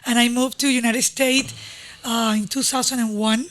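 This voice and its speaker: Venezuelan woman guest, halting English. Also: a little high, fairly even intonation. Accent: Venezuelan Spanish. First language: Spanish. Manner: warm, halting, with hesitations and non-native grammar. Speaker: guest, real estate agent. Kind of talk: casual interview. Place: podcast studio.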